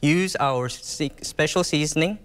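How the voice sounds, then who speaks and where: A man's voice: fairly high pitch, unhurried, a bit bright, smooth, big-room sound. A man working as an executive chef, interviewed on TV, polite, with short answers and many affirmations. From South Africa, grew up in Taiwan. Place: outdoor zoo kitchen set.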